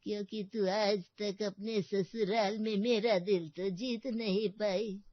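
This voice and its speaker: Woman putting on a slow, teasing old grandmother. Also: mid-range voice, fairly even intonation, dry recording, dull audio. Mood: mocking. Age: older. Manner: drawling, mocking, bossy.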